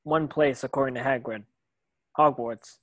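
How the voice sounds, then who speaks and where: A man's voice: mid-range voice, steady tone, moderate pace, no echo, close to an everyday voice. A man playing an earnest, uneasy schoolboy hero in a screenplay table read.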